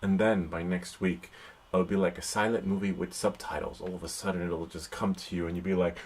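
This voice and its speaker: A man's voice: deep voice, level tone, a little fast, husky. A man giving casual, rambling live commentary as host of an indoor hobby how-to vlog, joking playfully at his own expense and muttering to himself. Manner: playful, self-deprecating, joking. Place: indoors in a house. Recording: constant background noise in room.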